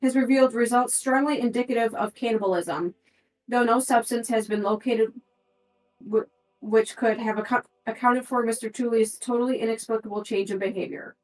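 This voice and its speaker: A woman's voice: on the high side, fairly even intonation, normal speed. A woman playing a tabletop game, talking through clues and reading handouts aloud, sometimes stumbling over words. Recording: roomy echo, distant from the mic.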